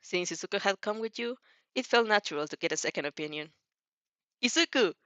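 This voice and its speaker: A woman's voice: fairly low pitch, fast, a bit bright, dry recording. A woman reading fiction aloud as narrator, often stumbling and repeating phrases. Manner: stumbles over words and rereads phrases. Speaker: amateur fan fiction reader. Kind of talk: storybook narration read aloud. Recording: dry, slightly dull lo-fi recording.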